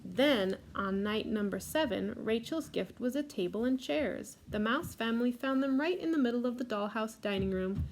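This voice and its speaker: A woman reading a picture book aloud for a library storytime, adding gentle asides about the pictures. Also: on the high side, animated, normal speed, a little hiss or hum, low-quality recording.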